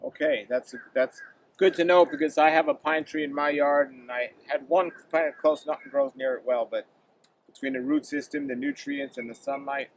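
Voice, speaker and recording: A male host interviews a grower outdoors in a yard, chatty and quick with follow-up questions. His voice sits a little above average pitch for a man, with faint background noise in the open air. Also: lively intonation, gravelly, rough recording. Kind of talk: casual interview.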